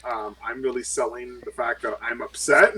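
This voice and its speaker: A man's voice: mid-range voice, steady tone, normal speed, sharp tone, slightly rough. A man chatting casually as a player in a tabletop game, rambling with lots of fillers.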